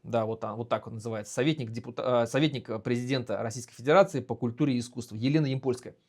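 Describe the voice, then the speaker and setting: A man from Bashkortostan, a native Bashkir speaker, hosting a commentary video in an earnest, indignant way, halting often with fillers. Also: mid-range voice. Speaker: video host and activist. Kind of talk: solo commentary to camera.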